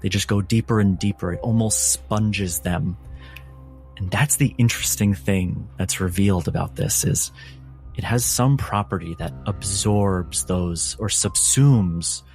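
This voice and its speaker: A man with a fairly low voice narrates as game master of a tabletop game. He describes scenes in a measured storyteller's way, with music playing underneath. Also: a little mellow.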